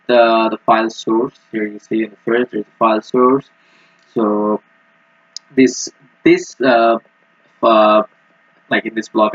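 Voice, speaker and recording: A man giving a technical walkthrough as a student presenter, speaking in a halting, hesitant way. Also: mid-range voice, breathy edge. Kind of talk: technical screen-recording walkthrough. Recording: muffled, roomy lo-fi microphone.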